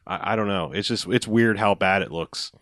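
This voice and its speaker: A man with a fairly low voice talks through movies in a loose, rambling way. He swears freely and leans on fillers. The sound is dry and close. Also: normal speed, a bit bright, dry recording. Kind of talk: casual movie chat.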